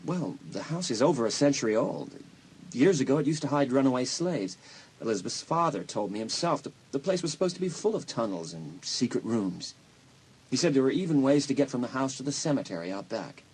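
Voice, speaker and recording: An actor playing a concerned, reassuring school counselor in an audio drama, speaking earnestly and soothingly with some hesitation. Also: male voice, mid-range voice, sing-song, brisk, a little mellow, breathy edge, low-quality recording.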